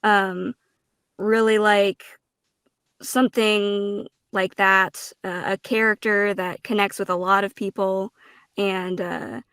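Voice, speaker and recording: A woman giving a casual, reflective interview answer, earnest and halting, with informal turns of phrase. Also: medium pitch, flat delivery, a bit bright, clean tone, no echo, studio quality. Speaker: guest voice actor and streamer.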